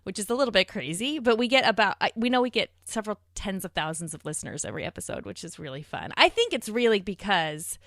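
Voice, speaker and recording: A middle-aged woman with a bright, forward voice in a dry, close recording. She talks in a chatty, animated way, as a podcast guest sharing casual conversation. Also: mid-range voice, fast talker, few pauses, no room sound. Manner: animated, chatty, laughs often.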